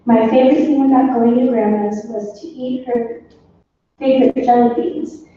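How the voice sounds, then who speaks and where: Middle aged lady reading tributes at a funeral, kinda halting, very soft dark voice, super echoey. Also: fairly high pitch, steady tone. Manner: halting, informal. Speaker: granddaughter speaking for her family. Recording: echoey room, distant muffled mic, faint noise.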